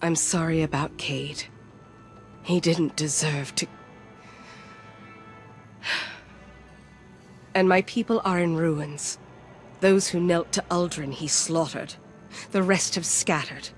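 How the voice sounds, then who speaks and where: A vengeful warrior commander from a video game. She speaks low and slowly, solemn and resolute, with faint music behind her. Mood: somber. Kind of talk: video game character acting.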